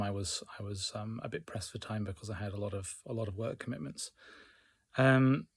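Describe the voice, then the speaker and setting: A man recounting his day in a casual personal vlog, speaking in a reflective, measured and somewhat hesitant way. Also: mid-range voice, dry recording. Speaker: hobbyist learner keeping a progress diary.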